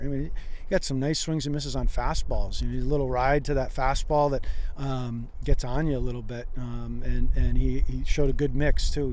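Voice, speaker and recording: Middle-aged coach outdoors with steady, level pitch. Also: male voice, rough recording. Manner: rambling, many restarts and fillers. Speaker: head baseball coach. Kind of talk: post-game interview. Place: outdoors on a baseball field. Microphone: handheld mic held by interviewer. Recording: outdoor field noise, gusting wind.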